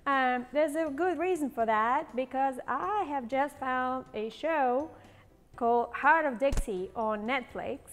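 A woman's voice: sits high, slow, long reverb tail. A woman in her forties hosting a cooking demonstration in a large home kitchen, chatty and bubbly, with a playful put-on Southern accent. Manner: chatty, bubbly, laughs often. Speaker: cooking show host.

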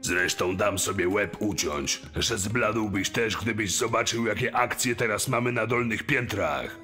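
A man playing an exasperated, mocking mine boss in an audio drama, complaining in a forceful manner. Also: on the low side, a little slow, soft background music. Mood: mocking, angry.

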